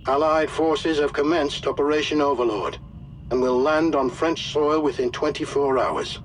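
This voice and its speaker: Solemn, deliberate military officer played by a man. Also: medium pitch, slight background noise, natural voice, low-quality recording. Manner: measured, formal, deliberate. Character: solemn, authoritative Allied military briefing officer. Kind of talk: formal scripted mission briefing, video game.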